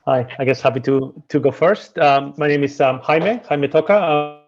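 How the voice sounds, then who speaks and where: A man's voice: fairly high pitch, moderate pace. A man, introducing himself casually over a lo-fi video call. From Spain. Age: 40s. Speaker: alumnus participant.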